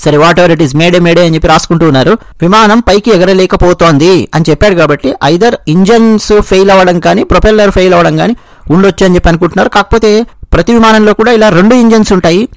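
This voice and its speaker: High-pitched Telugu man explaining news. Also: fast, dry recording. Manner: explanatory, repeats and rephrases points. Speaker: YouTube news commentator.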